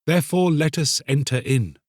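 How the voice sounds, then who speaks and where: A man's voice: a little high, expressive, normal speed, no room sound, clean studio sound, pitched above the performer's own voice. A man voicing a sly, soothing soul that tempts and urges in archaic storybook speech, drawing out its words coaxingly. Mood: urging, sly. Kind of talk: dramatised storybook reading.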